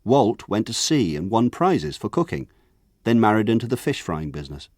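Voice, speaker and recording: An actor playing a grown man who narrates his rough village boyhood in a measured, wry radio drama style. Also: on the low side, big pitch swings, darkish tone, no echo, clean studio sound. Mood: wry, reflective. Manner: measured, wry, lyrical phrasing. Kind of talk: scripted radio drama narration, literary storytelling.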